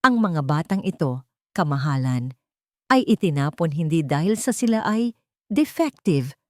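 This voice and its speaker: A woman's voice: fairly low pitch, no room sound, studio quality. A woman voicing a determined female advocate in an audio story, formal, emphatic and accusing. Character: determined woman advocate presenting evidence in court.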